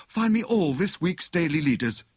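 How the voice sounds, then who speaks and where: A man voicing a clever, excitable married woman who plays amateur detective, with a brisk, knowing manner. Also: a little high, breathy edge, no echo, clean studio sound, voice pushed up. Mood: excited, intent.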